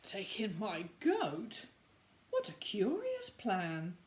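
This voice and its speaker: A woman's voice: medium pitch, huge pitch swings, very slow, darkish tone, exaggerated voice. A woman voicing a flustered, complaining little old lady from a children's storybook, pleading and exclaiming in a plaintive way. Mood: puzzled. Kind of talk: children's storybook read-aloud.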